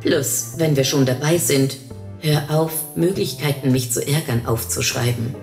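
Woman doing a skeptical newlywed, deadpan, bickering, deep female voice, audio story, faint music. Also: a little slow, vocal fry, full character voice. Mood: sarcastic. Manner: deadpan, sarcastic. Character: dry, sarcastic, skeptical newlywed, bickering with her wife. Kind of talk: audio short-story dialogue. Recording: roomy echo, faint music.

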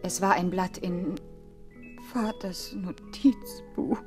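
An actress playing a gentle, emotionally moved young lady in a German audio drama. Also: fairly low pitch, steady tone, takes it slowly, faint music behind.

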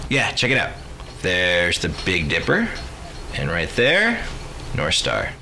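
Casting: male actor as middle-aged American family dad, radio drama. Lively intonation, coaxing, clear background noise. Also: low-pitched, slow, heavily acted. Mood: warm, wistful. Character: earnest, reassuring father of two on a family holiday.

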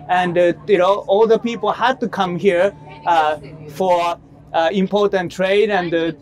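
A Japanese man in his thirties, a film director, answering interview questions outdoors by the sea in English with a Japanese accent, often pausing with fillers and restarting. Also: high voice, a little mellow. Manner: hesitant, many fillers and restarts. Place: seaside promenade, outdoors.

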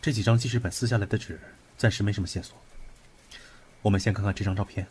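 A man acting in a Chinese audio drama as a brusque, analytical young investigator who speaks in a deliberate, commanding way. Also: on the low side, fairly even intonation, darkish tone, slight background noise, natural voice. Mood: curt.

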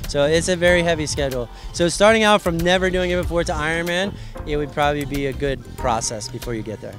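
A young man from Hawaii, a triathlon association president, explaining the sport in a relaxed, friendly way at an outdoor race. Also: a little high, fast talker. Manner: relaxed, friendly, explains in loose run-on sentences. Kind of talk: outdoor event interview. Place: outdoors at a race venue under tents. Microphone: handheld mic. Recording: background music at outdoor event.

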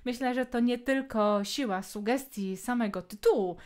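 Chatty Polish woman, slightly high voice. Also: normal speed, darkish tone. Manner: chatty, many hesitation fillers. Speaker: podcast host. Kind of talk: casual book podcast talk.

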